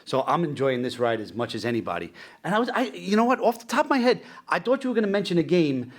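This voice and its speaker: A man's voice: on the high side, sing-song, quick pace. Enthusiastic man in his forties hosting. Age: 40s. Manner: enthusiastic, eager fan talk with frequent restarts. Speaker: podcast host. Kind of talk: casual interview chat.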